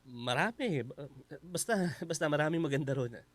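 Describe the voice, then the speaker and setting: Hesitant, secretive Filipino houseguest. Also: male voice, on the high side, expressive, no room sound. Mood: evasive, reluctant. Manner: hesitant, halting, humble. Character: secretive, polite, evasive male houseguest. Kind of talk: Filipino radio drama acting.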